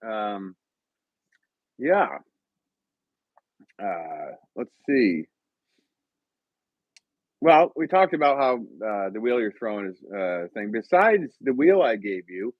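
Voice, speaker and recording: A man from Connecticut chats slowly on a live podcast, his pitch swinging widely as he rambles and laughs. The sound is dry and slightly dull, like a lo-fi mic. He fills gaps with ums and uhs. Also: very animated, dry recording. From Connecticut, USA. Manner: rambling, laughs often, many fillers. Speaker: podcast host. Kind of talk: casual live-stream chat.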